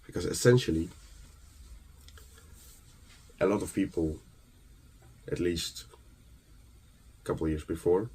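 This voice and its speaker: A man from Ukraine delivers an earnest, emphatic motivational monologue, halting between phrases and posing rhetorical questions. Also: low voice, darkish tone, slightly rough, rough recording. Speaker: motivational speaker.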